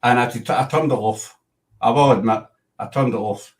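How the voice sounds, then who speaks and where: A man's voice: mid-range voice, moderate pace, far from the mic. A Glaswegian Scottish man hosting a casual livestream chat, rambling and breaking off to greet viewers. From Scotland. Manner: rambling, self-interrupting, chatty with viewers. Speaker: host.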